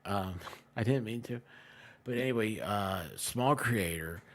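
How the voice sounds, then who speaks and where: A man with a fairly low voice chats casually as a streamer. He tells stories in an animated way, swinging between loud and hushed delivery. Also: a little slow. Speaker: live streamer, co-host. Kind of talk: casual chat on a livestream.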